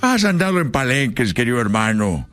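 A man's voice: medium pitch, sing-song, fairly quick, fairly bright tone. A man doing a comedic impression of an old Mexican ranchera singer, sermonizing his brother repetitively in a Mexican accent. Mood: indignant. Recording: music playing behind the voice.

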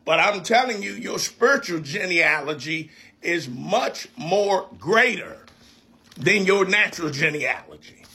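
Bright, high-voiced man preaching. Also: animated, unhurried. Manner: emphatic, repetitive preacher cadence. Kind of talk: preaching in conversation.

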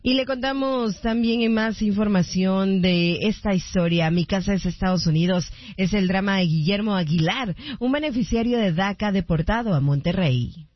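A woman's voice: mid-range voice, normal speed, few pauses, no room sound, highs cut off. A woman co-hosting a radio show, switching between casual chat and scripted news headlines. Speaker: radio co-host and news reader. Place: radio studio.

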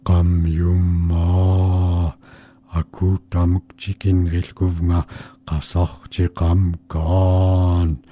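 A man doing a slow, menacing creature voice. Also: low-pitched, monotone, mellow, muffled recording. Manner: slow, drawn-out and threatening. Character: menacing disguised male creature at the door.

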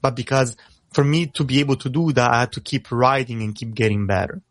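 A man with an Italian accent, speaking English as a second language, who talks fast as a rapper guest on a radio show and often repeats words as he starts a thought. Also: mid-range voice, no room sound. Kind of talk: casual radio interview.

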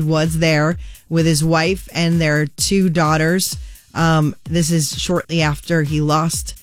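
A woman hosts a morning radio show in a close, dry studio, with faint music far underneath. She runs through celebrity news in a chatty way and drops quick jokes as she goes. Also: low-pitched, no room sound. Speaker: radio co-host. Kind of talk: casual morning radio chat. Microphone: studio microphone.

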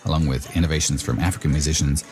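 A man's voice: rumbling low, fairly even intonation, very fast, loud music behind the voice. A man hosting a documentary, narrating from a script and putting questions to his guest. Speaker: host and reporter.

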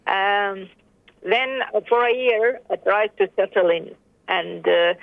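A middle-aged woman talks as a guest over the phone, hesitant and full of fillers. Her voice is gravelly and sits at a middle pitch. The line is tinny and dry. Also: slow pace, dry recording. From Sudan. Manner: hesitant, many fillers and restarts. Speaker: interview guest. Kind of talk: phone interview.

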